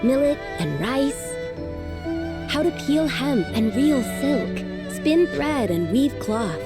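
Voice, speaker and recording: An actress playing a gentle, reassuring older child who explains things to younger siblings in a video game. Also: female voice, high-pitched, sing-song, takes it slowly, over loud music, heavily acted. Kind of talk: earnest storytelling game acting.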